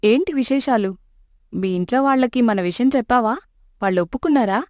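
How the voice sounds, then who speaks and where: A woman's voice: a little high, a little fast, a little mellow, no echo, studio quality, lightly acted. A woman voicing a loving young daughter in a storybook tale, devoted and pleading.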